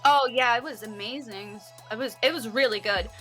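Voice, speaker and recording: A woman with a fairly high voice talks casually as a guest on a chat show. She is effusive, informal and giggly, and music plays clearly behind her. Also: normal speed, sharp tone. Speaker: interview guest.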